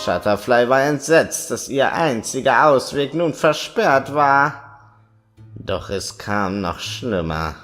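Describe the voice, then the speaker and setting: A man's voice: mid-range voice, animated, slow pace, fairly bright tone. A man playing a mischievous trickster creature, telling a spooky campfire tale in a theatrical, suspenseful audio-play style. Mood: ominous, suspenseful. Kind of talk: scripted dramatic storytelling, audio play. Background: background music.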